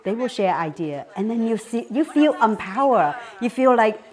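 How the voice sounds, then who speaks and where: A woman's voice: fairly high pitch, animated, moderate pace. A woman from Asia speaking about herself in a personal interview as an event chair. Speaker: event chair and nonprofit vice president.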